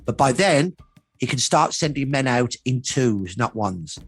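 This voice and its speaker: Mature man, raspy voice, mid pitch, documentary historian interview, emphatic explanatory phrasing. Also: animated, a little slow, faint music behind.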